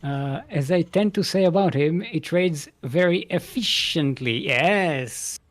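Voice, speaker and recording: A man's voice: a little high, takes it slowly. A man commentating an esports match in a casual, joking, rambling way with playful banter.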